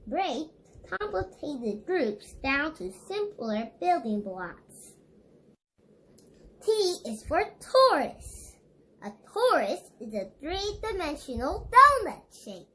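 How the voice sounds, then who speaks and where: A woman's voice: high voice, sharp tone, a little hiss or hum, low-quality recording. A female reader reading an educational children's book aloud, stumbling over words now and then. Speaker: read-along book reader.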